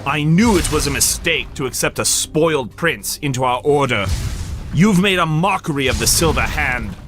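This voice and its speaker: A man's voice: fairly high pitch, lively intonation, moderate pace, natural voice. A man playing a bitter paladin knight in a video game, declaiming with contempt. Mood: scornful. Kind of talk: video game cinematic acting.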